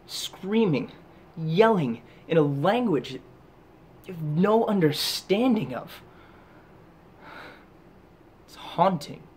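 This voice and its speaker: A man performing a dramatic monologue as a haunted, guilt-ridden soldier back from war, halting and intense, with heavy pauses. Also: a little high, expressive, a little mellow, a little hiss or hum. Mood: agitated, haunted.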